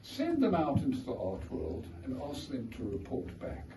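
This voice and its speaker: A man's voice: medium pitch, wildly swooping pitch, normal speed. A man with a South African accent, telling wry, wandering anecdotes as an author at his book launch. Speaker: author giving a launch talk. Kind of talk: informal speech to a crowd. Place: art gallery, indoors. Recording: echoey gallery, distant, noisy.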